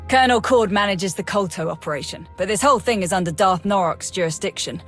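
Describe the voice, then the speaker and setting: An actress playing a brash, wry military major in a video game, blunt and breezy. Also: female voice, mid-range voice, fast, fairly bright tone, faint music behind, close to an everyday voice. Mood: wry, assured.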